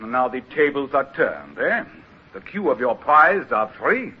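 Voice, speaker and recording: Husky, suave French privateer captain. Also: male voice, medium pitch, big pitch swings. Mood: smooth menace. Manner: courteous, measured, formal. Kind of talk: old-time radio drama acting. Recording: dull old radio recording.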